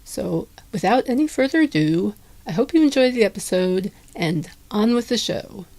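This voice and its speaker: A woman's voice: medium pitch, lively intonation, moderate pace, darkish tone, slight background noise. A woman introducing her podcast episode in a friendly, plain way. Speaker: podcast host.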